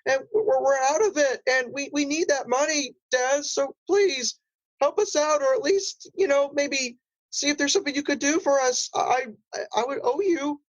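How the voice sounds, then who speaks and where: A man's voice: normal speed, poor audio. A man voicing a small, naive but scheming cartoonish crab in improvised comedy, hesitant, pleading and rambling. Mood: pleading, sly. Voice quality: high-pitched, small cartoonish voice. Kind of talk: improvised comedy role-play.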